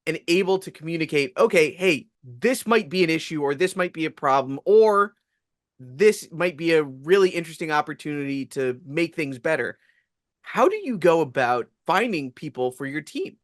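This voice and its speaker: Guy hosting an interview, kinda high voice, dry close sound, asks reflective questions. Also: expressive, moderate pace, dry recording. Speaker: podcast host. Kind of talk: casual interview.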